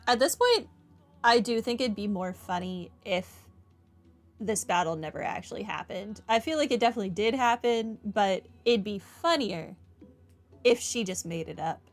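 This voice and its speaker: A woman's voice: mid-range voice, animated, normal speed, soft background music. A woman giving casual, wry playthrough commentary as she hosts a gaming video. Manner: wry, informal.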